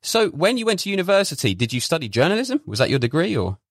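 A man's voice: a little high, sing-song, quick pace, slightly rough, no room sound, studio quality. A man hosting a podcast, reading a scripted intro, then asking friendly, somewhat hesitant questions. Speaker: podcast host. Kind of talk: podcast interview.

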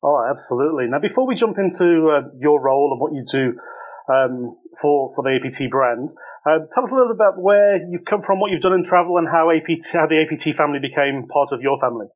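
A man hosting a podcast interview, brisk and upbeat. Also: fairly high pitch, expressive, muffled recording. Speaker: podcast host.

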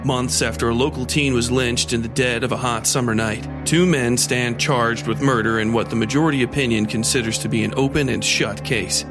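A man's voice: mid-range voice, fairly even intonation, fairly quick, hardly any pauses. Male storyteller over plucked guitar music. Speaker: podcast host and narrator. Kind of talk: scripted narration, dark fiction podcast promo. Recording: music bed behind voice.

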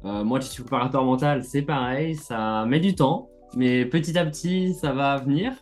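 A man's voice: medium pitch, moderate pace, faint music behind. Hesitant male podcast host. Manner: rambling, hesitant questions. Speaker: podcast host, mental coach. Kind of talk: casual interview questions.

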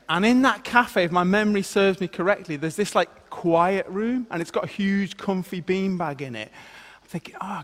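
High-voiced preacher in an echoing hall. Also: male voice. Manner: animated, self-deprecating storyteller. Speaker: former vicar giving a talk. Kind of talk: sermon with a personal anecdote.

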